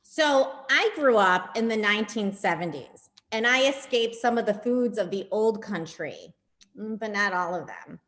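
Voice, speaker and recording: A woman in her fifties reads a comic personal piece aloud. Her voice is middling in pitch, and she speaks in scripted sentences as an amateur reader. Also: expressive, a little slow, a bit bright. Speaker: participant reading a personal piece. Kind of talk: scripted humorous reminiscence, read aloud.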